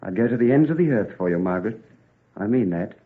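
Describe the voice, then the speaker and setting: Casting: male actor for earnest, jealous gentleman admirer, swooping delivery, narrow phone-like vintage radio sound. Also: lowish voice, expressive, fast, natural voice. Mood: playful, pleading. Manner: earnest, impassioned. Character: ardent, lovelorn gentleman suitor, jealous rival. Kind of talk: old-time radio drama acting. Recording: tinny, narrow old radio recording.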